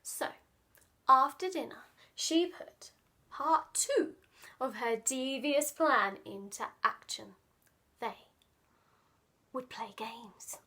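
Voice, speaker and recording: A woman reading a children's picture book aloud, dramatic and playful, often dropping into hushed asides. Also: high-pitched. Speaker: children's storyteller.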